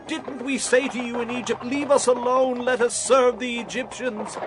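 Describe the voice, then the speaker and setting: A man's voice: very high-pitched, steady tone, normal speed. A man playing a frightened Israelite who complains bitterly and accusingly in a dramatized scripture audio drama. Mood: fearful, accusing. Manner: accusing, agitated. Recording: dramatic music behind voice.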